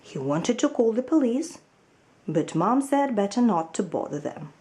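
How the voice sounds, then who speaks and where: A woman reads a children's story in a soft, mellow voice. Her pace is slow and measured, and her intonation rises and falls expressively as she narrates. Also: mid-range voice, sing-song. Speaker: storybook reader.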